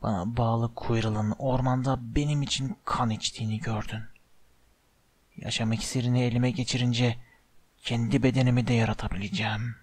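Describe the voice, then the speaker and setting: A man voicing a sinister, bodiless dark wizard in an audiobook, with a slow, menacing, hissing hush. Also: mid-range voice, little pitch movement, natural voice. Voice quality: hushed, breathy hiss.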